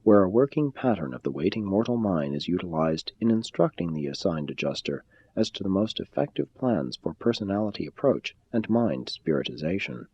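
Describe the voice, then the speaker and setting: A man with a low, mellow voice reads formal spiritual prose like an audiobook narrator. His delivery is measured and solemn, with a slightly husky grain. The recording sounds a little dull. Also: sing-song. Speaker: audiobook-style reader. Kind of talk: formal scripted reading of a spiritual text.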